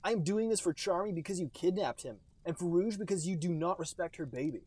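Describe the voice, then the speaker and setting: A man's voice: high voice, lively intonation, a little fast, darkish tone, breathy edge, no echo. A man voicing an aggrieved, combative teenage male cartoon fighter in a theatrical, declaiming way. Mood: defiant.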